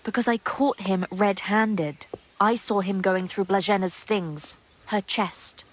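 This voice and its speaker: A woman's voice: mid-range voice, fairly even intonation, takes it slowly, poor audio. A woman playing a gossipy, guarded young monastery maid in a medieval video game drama, secretive and earthy in her talk. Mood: confiding. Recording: clear background ambient noise.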